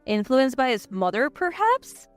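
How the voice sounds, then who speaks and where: A woman's voice: high-pitched, very animated, measured pace, smooth, faint music behind. A woman reading a scripted first-person story as narrator, in a dramatic, emotive way.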